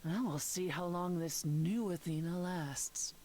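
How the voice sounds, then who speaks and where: Game role: woman playing composed female leader of immortals, low register, measured and dryly formal. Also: a little mellow, close to an everyday voice. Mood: calm, dry. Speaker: voice actor. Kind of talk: dramatic dialogue in a story game.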